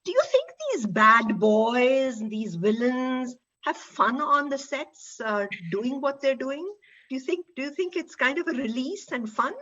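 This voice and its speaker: A woman with an Indian accent moderating a panel in an unscripted, halting way, repeating words as she goes. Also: high-pitched, expressive, pushed and bright, dull audio.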